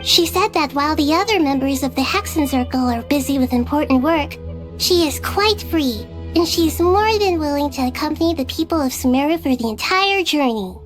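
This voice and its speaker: A woman's voice: high voice, animated, a little fast, over music. A woman voicing a small, perky, childlike fairy companion in a video game. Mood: cheerful.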